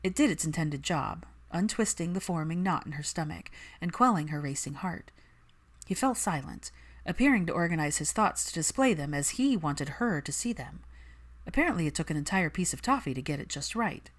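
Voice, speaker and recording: A woman's voice: sits low, expressive, fairly quick. A woman reading a story aloud as an audiobook narrator, in scripted narration. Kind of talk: scripted fan fiction narration.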